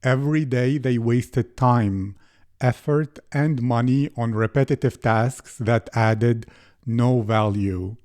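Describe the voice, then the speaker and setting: A man hosting a business podcast, reading a scripted intro and then asking interview questions in a measured way. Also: mid-range voice, darkish tone. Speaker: podcast host.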